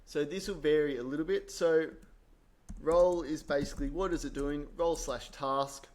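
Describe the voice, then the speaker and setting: A man presenting a software tutorial in an informal, explanatory way, thinking aloud as he works through each step. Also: on the high side, fairly even intonation, normal speed. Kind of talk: casual screen-share software tutorial.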